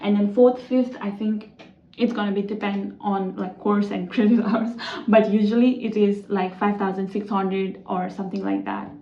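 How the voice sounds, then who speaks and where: A woman's voice: mid-range voice, steady tone, normal speed, slight background noise. A young woman from Nepal with a Nepali accent, a student vlogger explaining things in a loose, chatty way full of "like" and "you know". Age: young adult. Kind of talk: casual explainer vlog. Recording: roomy echo, slightly dull lo-fi sound.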